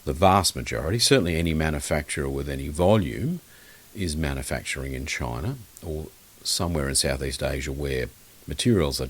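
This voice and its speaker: A man talking casually as an expert guest in a podcast interview, opinionated and blunt, often rambling as he explains things. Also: low voice. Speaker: guest loudspeaker designer and maker.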